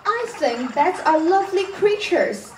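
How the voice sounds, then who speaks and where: A teenage girl plays a curious, matter-of-fact schoolgirl explorer in a radio play. Her voice is high. It sounds distant in an echoey theatre, with faint noise. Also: expressive, a little slow. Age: teens. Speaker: school drama performer. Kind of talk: radio drama acting. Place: stage of a studio theatre. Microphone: far camera.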